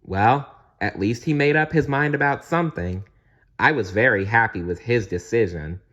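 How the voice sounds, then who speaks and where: A man voicing a kind, playful young princess in a gentle, earnest storytelling manner for a bedtime storybook. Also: mid-range voice, normal speed, muffled recording, pitched above the performer's own voice, close to an everyday voice.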